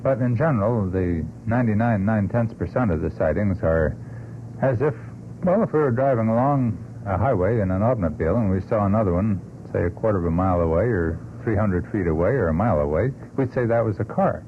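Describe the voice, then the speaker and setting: A man speaking as a radio panelist in a measured, explanatory way, unscripted, on an old broadcast. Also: lowish voice, animated, phone-quality sound, a little hiss or hum. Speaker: talk show panelist.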